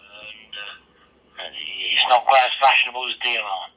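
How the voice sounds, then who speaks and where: A man's voice: on the low side, a little slow, husky. A man chatting casually as an amateur radio operator, explaining things in a hesitant, rambling way. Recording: muffled handheld two-way radio audio.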